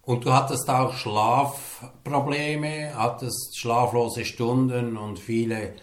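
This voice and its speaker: Man, interviewer, calm measured questions, slow delivery, roomy echo. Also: medium pitch.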